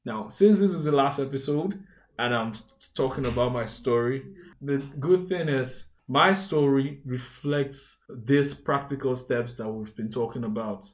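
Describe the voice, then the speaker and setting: A young Nigerian man with a Nigerian accent hosts his own podcast, recounting his life in an earnest, chatty manner. His voice sits in a middling pitch for a man, and he speaks as if confiding in a friend, adding shout-outs along the way. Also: measured pace. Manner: earnest, conversational, warm asides and shout-outs. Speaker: podcast host. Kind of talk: personal storytelling monologue.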